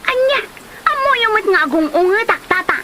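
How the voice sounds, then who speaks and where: A woman's voice: very high voice, lively intonation, normal speed, noisy background. A woman playing a hot-tempered, resentful character spoiling for a fight in a comedy drama, scolding indignantly in an Ilocano accent. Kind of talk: colloquial comedy drama acting.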